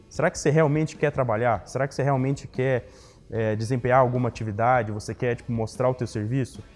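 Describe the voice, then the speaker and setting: A man's voice: medium pitch, a little mellow, big-room sound. Casual young man reflecting on his career. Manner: casual, reflective, many fillers like "né". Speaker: guest, economics graduate. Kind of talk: interview answers.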